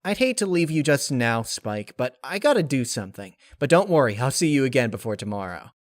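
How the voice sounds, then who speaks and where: A man's voice: medium pitch, very animated, a little fast, dry recording, studio quality, close to an everyday voice. A man voicing a brash, impulsive young female pegasus pony in a story reading, casual and direct. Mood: hurried, upbeat.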